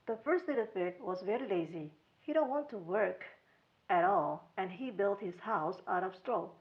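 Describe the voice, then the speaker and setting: A woman's voice: medium pitch, animated, normal speed. A woman storyteller narrating a children's storybook tale, on a dull recording. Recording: dull lo-fi sound.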